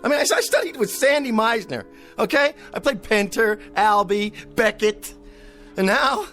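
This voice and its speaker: An actor playing a vain, pompous TV cowboy star who whines and rants theatrically. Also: male voice, high-pitched, animated, moderate pace, fairly bright tone, faint music behind. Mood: indignant. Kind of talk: radio drama acting.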